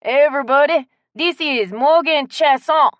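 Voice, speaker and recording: A woman's voice: medium pitch, moderate pace, no room sound, clean studio sound, voice pushed up, close to an everyday voice. A woman narrator voicing a friendly, helpful small-town male shopkeeper in an audiobook story. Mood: hearty.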